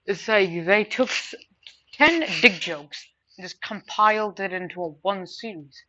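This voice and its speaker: Bright-voiced woman rambling through a casual review. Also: mid-range voice, unhurried. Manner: rambling, emphatic, repeats words for stress. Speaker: anime and manga reviewer. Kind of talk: casual unscripted opinion review. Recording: dull lo-fi mic with faint noise.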